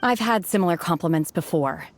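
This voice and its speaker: A woman voicing a reserved, solitary young woman in a video game, speaking in a formal, measured and detached way with quiet gentleness. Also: on the low side, expressive, natural voice. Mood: weary, withdrawn. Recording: game audio with music behind.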